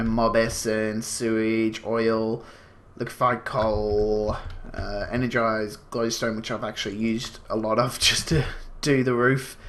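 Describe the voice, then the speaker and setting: A man's voice: medium pitch, fairly bright tone, slight background noise. A man with a slight cold, sniffling as he gives casual, rambling gameplay commentary full of fillers. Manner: rambling, explains as he goes, many fillers. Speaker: gaming channel host.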